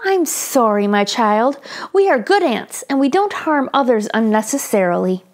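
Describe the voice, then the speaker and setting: A woman voicing a gentle, apologetic queen ant with a kindly, regal manner in a children's storybook. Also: a little high, lively intonation, moderate pace, natural voice.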